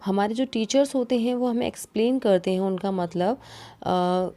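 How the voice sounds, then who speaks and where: A woman's voice: mid-range voice, normal speed, warm tone. A woman with an Indian accent and Hindi as her first language, giving a didactic, explanatory lesson as a teacher. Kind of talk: explanatory lesson, alternating read-out points and explanation.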